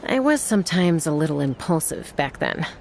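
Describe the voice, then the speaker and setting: Casting: self-assured lone-wolf game warrior, young woman, very low register, gravelly creak, composed. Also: sing-song, moderate pace, very noisy. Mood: reflective. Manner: cool, composed, frank. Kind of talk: video game voice acting.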